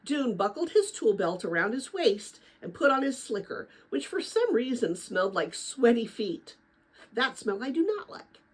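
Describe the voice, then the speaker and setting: An older woman, a retired teacher, with a chatty, animated way of talking, chatting informally and reading a children's book aloud. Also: a little high, normal speed, breathy edge, rough recording. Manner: chatty, animated, rambling with personal asides. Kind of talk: informal chat and storybook read-aloud.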